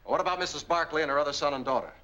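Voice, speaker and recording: An actor playing a determined, indignant young man rescuing his sweetheart, in brisk, forceful 1940s film style. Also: on the high side, expressive. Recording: dull 1940s film soundtrack with music.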